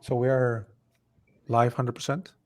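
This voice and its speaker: Relaxed male co-host from Ecuador, chatty and welcoming on an online call. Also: mid-range voice, measured pace. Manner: easygoing, greets attendees by name. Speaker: webinar co-host. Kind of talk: live webinar, casual chat.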